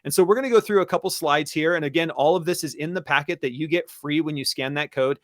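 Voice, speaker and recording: A man's voice: a little high, fast, no echo, clean studio sound. A man co-hosting an educational webinar in a casual presenting style, talking directly to listeners. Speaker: podcast and webinar co-host.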